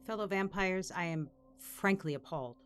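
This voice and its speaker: Low, indignant vampire councilwoman played by an actress. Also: moderate pace, clear, resonant tone, natural voice. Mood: appalled. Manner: formal, reproachful. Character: indignant, principled female vampire council member. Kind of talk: dramatic audio drama acting.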